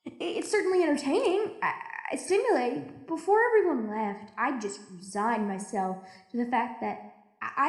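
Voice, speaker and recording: A high, echo-laden voice belongs to an actress playing a lonely, jaded woman stranded in a deep-sea station. She keeps an anxious audio log for a drama podcast, rambling, stammering and interrupting herself as she confides. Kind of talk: audio drama monologue, confessional recorded log. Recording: echoey room.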